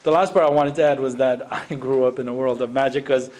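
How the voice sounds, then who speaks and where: A man's voice: mid-range voice, steady tone, fast, darkish tone. A man from Iraq speaking unscripted on a panel, emphatic, repeating phrases and posing rhetorical questions. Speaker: education panelist, former science teacher. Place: live panel event.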